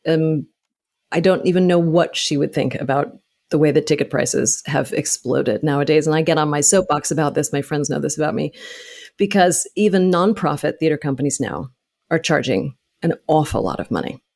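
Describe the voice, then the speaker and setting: A woman's voice: low-pitched, expressive, moderate pace, vocal fry, darkish tone. A woman in her thirties speaking as an interview guest, explaining things in an articulate, detailed and passionate way. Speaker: interview guest, actor and author. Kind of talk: conversational interview, storytelling.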